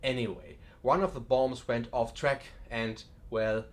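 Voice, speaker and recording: A man's voice: medium pitch, fairly even intonation, measured pace, natural voice. A man playing a genial, wry museum curator in an audiobook drama, chatty and dryly joking with a macabre humour. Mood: matter-of-fact.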